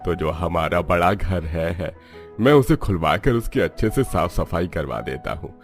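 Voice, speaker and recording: Deep-voiced village grandfather, acted by a man. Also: lively intonation, unhurried, faint music behind. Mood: practical. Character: practical, agreeable elderly village grandfather.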